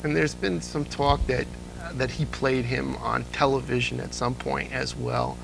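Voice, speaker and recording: A man's voice: medium pitch, little pitch movement, normal speed, vocal fry, over music, rough recording. A man chatting as an interview guest, explaining things and telling anecdotes with a fan's enthusiasm. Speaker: interview guest, old-time radio fan. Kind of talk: casual interview chat.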